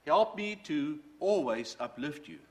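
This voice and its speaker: Earnest middle-aged preacher, lively pitch. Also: male voice, a little slow. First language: Dutch. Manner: earnest, storytelling, quotes from books. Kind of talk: sermon with personal testimony.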